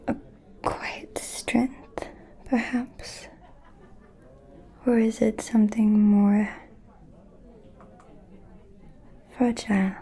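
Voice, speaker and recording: Woman acting as an ancient vampire lady, asmr roleplay, breathy mellow whisper, super slow n seductive, kinda menacing. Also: mid-range voice. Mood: seductive, probing. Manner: slow, deliberate, pausing, intimate.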